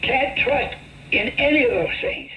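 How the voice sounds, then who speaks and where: A woman's voice: high voice, lively intonation, a little slow, lo-fi sound. A woman delivering a religious sermon on a shortwave radio broadcast. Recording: shortwave radio with faint noise.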